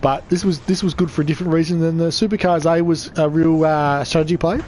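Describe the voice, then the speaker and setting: An upbeat man runs through the race results, his voice croaky and slightly higher than most. He chats easily with his audience. There is a faint noise under the recording. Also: steady tone, fast talker, darkish tone. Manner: upbeat, chatty, reads out chat. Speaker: race commentator. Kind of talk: live sim racing commentary.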